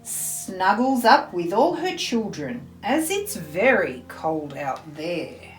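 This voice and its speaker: Slow, expressive woman storyteller, echoey room. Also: medium pitch. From Australia. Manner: deliberate, theatrical, addressing small children. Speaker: storyteller, school librarian. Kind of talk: expressive children's picture book reading. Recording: roomy echo, faint music behind.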